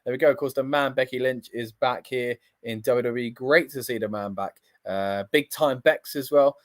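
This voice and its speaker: Excitable man, dry close sound. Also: medium pitch, normal speed, dry recording. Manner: excitable, talks in bursts with restarts. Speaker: co-host of a wrestling livestream. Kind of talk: casual livestream chat.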